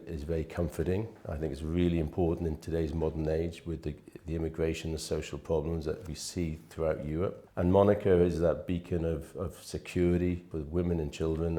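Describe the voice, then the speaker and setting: A man in his fifties telling stories from his life in a relaxed, chatty interview, often restarting his sentences. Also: extremely low pitch, a little fast, hardly any pauses. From Wales. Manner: chatty, rambling with frequent restarts. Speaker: interviewee, real estate manager. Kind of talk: relaxed anecdotal storytelling.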